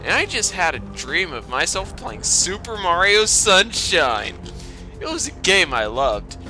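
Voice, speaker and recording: A man's voice: sits high, very animated, a little slow, sharp tone, soft background music. A man doing a sarcastic, foul-mouthed dramatic reading with exasperated commentary, his throat affected by a lingering cough. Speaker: host of a storytime reading series.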